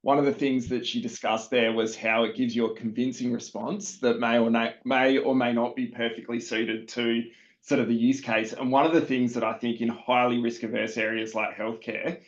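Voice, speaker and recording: A man's voice: mid-range voice, flat delivery, moderate pace. A man speaking as a panelist in a conversational discussion, on slightly dull audio. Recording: slightly dull, band-limited sound.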